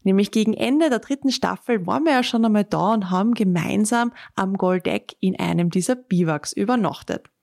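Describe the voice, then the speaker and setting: A woman's voice: medium pitch, a little fast, vocal fry, hardly any pauses, darkish tone, no room sound. The Austrian woman you would hear introducing a travel podcast episode through headphones, her crackly voice right up close in a dry space. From Austria (born in Carinthia, grew up in Styria). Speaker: podcast host. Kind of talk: travel podcast narration and interviewing.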